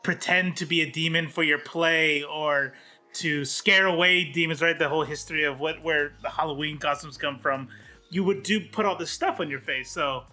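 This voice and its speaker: A male podcast host with a fairly high, very bright and forceful voice chats casually. Faint music plays behind him. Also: normal speed. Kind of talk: casual interview hosting.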